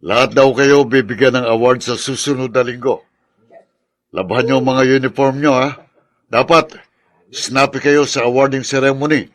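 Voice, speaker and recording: An actor with a Filipino accent playing a supportive superior officer in a melodrama, with a gravelly voice. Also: male voice, mid-range voice, steady tone, normal speed, dry recording, natural voice. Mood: brisk, pleased. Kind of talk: Tagalog melodrama acting.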